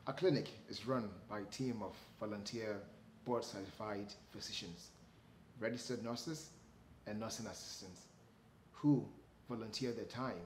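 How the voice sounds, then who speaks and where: Lowish-voiced man in an echoey room. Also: a little slow. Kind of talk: scripted promotional speech to camera. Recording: roomy echo, faint background noise.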